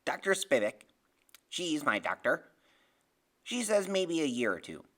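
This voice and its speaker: Man voicing a pompous, brainy little boy, forceful. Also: on the high side, big pitch swings, a little slow, exaggerated voice. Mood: eager, assured. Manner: pompous, precise, declaiming big words. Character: small sick brainy boy, grandiose and bossy. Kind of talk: audiobook character voice.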